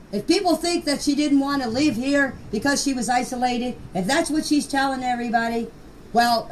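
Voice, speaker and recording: Older woman, high-pitched, distant noisy recording. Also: a little mellow. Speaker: grandmother of missing children. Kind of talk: informal voice messages. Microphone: phone voice message.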